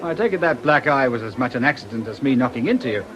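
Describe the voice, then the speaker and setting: An actor playing a wry police detective inspector in a radio drama, politely probing and insinuating. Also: male voice, mid-range voice, animated, fast talker, dull audio, lightly acted. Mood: probing, needling. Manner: breezy, insinuating, politely needling. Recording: noisy outdoor crowd behind the voice.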